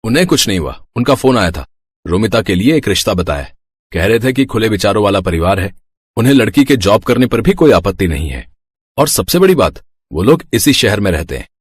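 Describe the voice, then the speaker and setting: A man playing an indulgent middle-aged Indian father with a husky voice, genial and bantering in a story. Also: on the low side, sing-song, brisk, no room sound. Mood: informing. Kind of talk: animated Hindi moral story dialogue.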